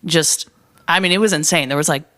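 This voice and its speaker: This man is a podcast guest with a fairly high voice. His voice is clear and smooth, and he tells his jokes dryly. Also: brisk. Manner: wry, deadpan jokes. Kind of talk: casual chat.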